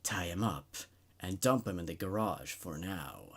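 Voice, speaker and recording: Man voicing hushed, sinister Manny. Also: low voice, animated, measured pace, slightly rough, pitched below the performer's own voice, heavily acted. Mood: cold, commanding. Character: pallid sinister whispering tempter egging the narrator on.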